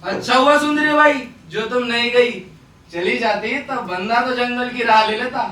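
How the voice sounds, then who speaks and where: A man on stage playing a drunken, flattering brothel customer in an excitable, theatrical way. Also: extremely high pitch, moderate pace. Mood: flattering, amused. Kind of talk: Hindi stage play acting. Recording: very echoey, distant stage recording.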